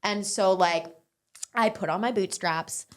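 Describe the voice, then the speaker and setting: A young woman, a barre instructor, talking casually as an interview guest, chatty and quick with lots of filler words. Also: mid-range voice. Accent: English-speaking, non-French.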